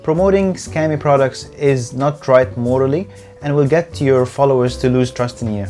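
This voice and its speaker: A man's voice: mid-range voice, darkish tone. A man who speaks English as a later language, giving a scripted, earnest tutorial as host of his own channel, a little stiff on camera. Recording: faint background music.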